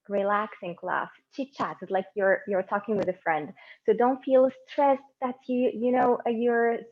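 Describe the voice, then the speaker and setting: Lady teaching english online, lots of ums and uhs, kinda dull stream audio. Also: medium pitch. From Egypt. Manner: relaxed and encouraging, with many fillers and restarts. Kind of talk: live online conversation class. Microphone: laptop or phone mic on a video call.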